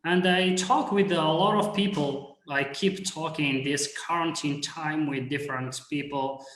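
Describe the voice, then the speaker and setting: A man's voice: fairly high pitch, a little slow, a bit bright, echoey room, rough recording. A man, speaking in a hesitant way with many fillers as a guest on a video call. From Morocco. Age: 30s. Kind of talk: casual video call chat.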